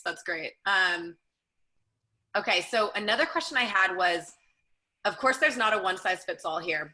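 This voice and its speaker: A woman's voice: on the low side, bright, forceful tone, low-quality recording. A woman moderating a career panel, upbeat and encouraging as she puts questions to the guests.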